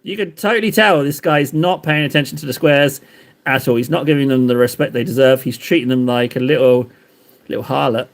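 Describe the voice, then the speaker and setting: A man's voice: medium pitch, brisk. A man live-streaming while he paints, rambling and joking as he banters with his chat.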